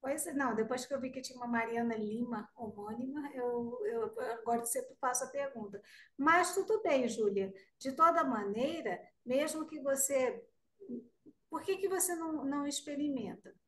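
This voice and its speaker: A woman's voice: high-pitched, normal speed. A Brazilian woman guest teacher in a live online class, answering student questions in a friendly, direct way. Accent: Brazilian Portuguese. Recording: roomy, distant-sounding mic.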